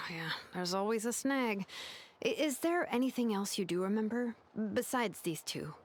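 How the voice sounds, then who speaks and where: Casting: actress for smart, laid-back young female engineer in a game, mid register, expressive intonation. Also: medium pitch, normal speed, slight background noise, natural voice. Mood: surprised, pensive. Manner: casual, analytical, explanatory. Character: brainy young female mechanic, casual and thoughtful. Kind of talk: video game voice acting.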